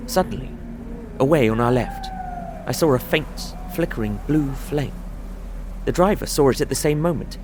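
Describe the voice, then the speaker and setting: A man playing an anxious traveller in an audio drama, recounting a frightening journey in measured, literary, suspenseful narration. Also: mid-range voice, expressive, noisy background, natural voice. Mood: fearful wonder. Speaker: narrating lead character.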